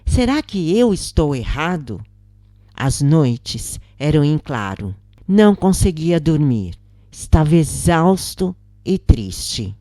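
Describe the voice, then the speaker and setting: A woman's voice: low-pitched, very animated, takes it slowly, warm tone, no echo, clean studio sound. A woman narrating a scripted first-person story in a dramatic storytelling style.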